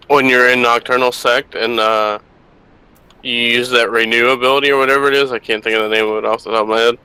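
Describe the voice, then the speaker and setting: A man's voice: mid-range voice, sharp tone, smooth, dry recording, poor audio. A man talking casually about game mechanics with friends during play. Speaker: gaming friend on voice chat. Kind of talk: casual gameplay chat. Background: game music.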